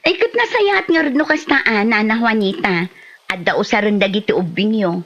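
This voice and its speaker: A woman's voice: mid-range voice, expressive, moderate pace, fairly bright tone, lo-fi sound. A woman acting a keen, inquisitive village neighbour in an Ilocano comedy drama, with a Filipino Ilocano accent. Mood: curious.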